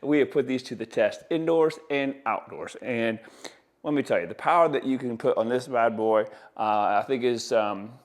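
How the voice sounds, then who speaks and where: A man's voice: medium pitch, moderate pace. A man with a mid-range voice walks viewers through a product in a casual demonstration. He talks like an installer who is keen on his gear, with enthusiastic, folksy patter and colloquial turns of phrase. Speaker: audio installer presenting products.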